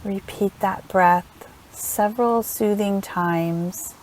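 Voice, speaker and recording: A woman leading a guided meditation in a gentle, soothing manner, unhurried and leaving long pauses between phrases. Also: mid-range voice, a little hiss or hum, poor audio. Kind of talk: guided meditation, slow instructional narration.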